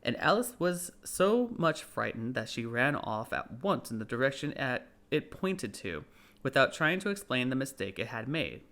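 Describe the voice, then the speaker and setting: Male audiobook narrator, medium pitch, reading storybook prose. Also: very animated, moderate pace, a bit bright.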